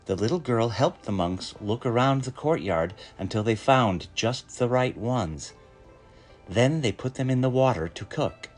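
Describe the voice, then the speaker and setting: Animated, unhurried male storyteller. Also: medium pitch, soft background music. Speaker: story reader. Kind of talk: expressive storybook narration.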